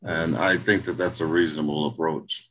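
A man testifying at a hearing over a video call, halting, with many fillers and restarts. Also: very deep voice, steady tone, a bit bright. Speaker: state representative presenting a bill.

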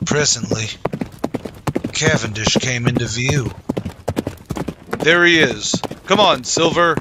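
A man's voice: medium pitch, noisy background. A man narrating a western adventure in dramatic, scripted old-time radio style.

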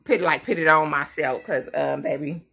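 A woman's voice: low-pitched, moderate pace, gravelly, bright, forceful tone, husky. A woman, chatting casually to camera at home, rambling and laughing as she talks. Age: 30s. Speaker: vlogger, mother of many. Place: small indoor room at home.

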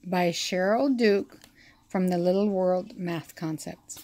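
A woman reading a children's math picture book aloud, counting slowly and asking the listener questions, recorded on a phone held over the book. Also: on the low side, noisy background. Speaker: read-aloud teacher.

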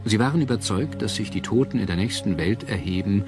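Low-voiced male documentary narrator. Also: brisk. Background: background music.

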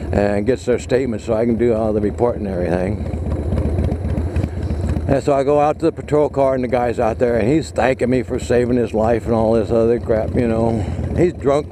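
A man, a former police officer, telling a story in a laid-back, rambling, wry way while riding a motorcycle outdoors. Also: mid-range voice. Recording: loud engine and wind noise.

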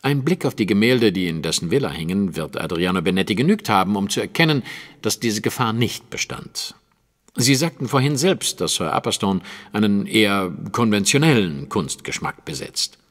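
An actor playing a shrewd, self-assured detective who explains things precisely in a German audio drama. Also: male voice, lowish voice, sing-song, moderate pace, big-room sound. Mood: insistent, reasoning. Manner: precise, lecturing, deductive.

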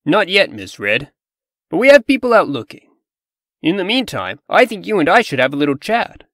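A man's voice: high-pitched, sing-song, normal speed, fairly bright tone, no echo, studio quality, exaggerated voice. A man voicing a scheming, persuasive revolutionary farm pig in a comic dub, earnest and conspiratorial. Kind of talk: comic dub character acting.

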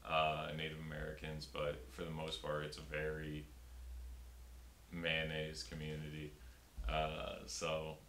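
A man's voice: completely flat delivery, a little slow, fairly bright tone. A young man, a stand-up comedian, talking casually in an interview with many fillers and restarts, filmed in a small room. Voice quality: very deep voice. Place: small room before a pink backdrop. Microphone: fixed camera, upper-body framing. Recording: roomy with faint hiss.

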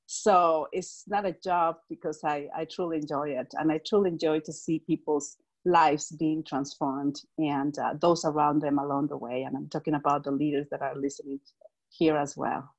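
A middle-aged woman from Mexico with a Mexican Spanish accent, speaking on a video call through an earphone mic, enthusiastic and quick to laugh. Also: sits low, steady tone, poor audio. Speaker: direct sales executive.

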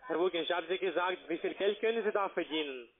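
A man from Slovakia with a foreign accent, not a native speaker, giving a halting, formally polite telephone sales pitch in broken grammar. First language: not German. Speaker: investment phone salesman. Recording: narrow-band, tinny phone line.

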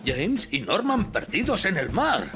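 A man's voice: high voice, huge pitch swings, loud music behind the voice, full character voice. A man voicing a grumpy, pompous middle-aged fire chief with a blustery manner in a children's cartoon. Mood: reluctant. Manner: blustery, drawn-out delivery. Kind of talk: animated children's series dub.

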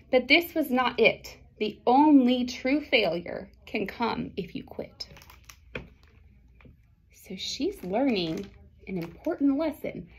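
A woman in her thirties reads a picture book aloud in a slightly high voice. Her pace is slow and deliberate, with expressive, dramatic phrasing and little asides to young listeners. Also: slight background noise. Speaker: storybook reader for children. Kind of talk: expressive children's read-aloud. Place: small indoor classroom or office.

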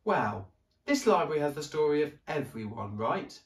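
A man voicing a bold, clever young girl in a high, eager, emphatic voice, recorded in a roomy space some way off the mic. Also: moderate pace, far from the mic. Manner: high, excited, emphatic delivery.

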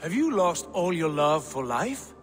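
A man's voice: fairly high pitch, very animated, measured pace, faint music behind. An actor playing a brash, swaggering Viking warrior in a video game, boisterous in battle and grave when he speaks of fate. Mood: solemn. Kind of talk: video game cutscene acting.

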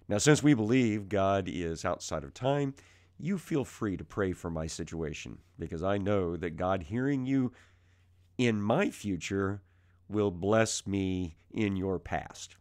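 A man with a strained, raspy voice while ill, teaching the Bible verse by verse from his basement, calm and conversational with frequent fillers. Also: deep voice, slow. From United States. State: ill with mild symptoms. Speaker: preaching minister. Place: home basement.

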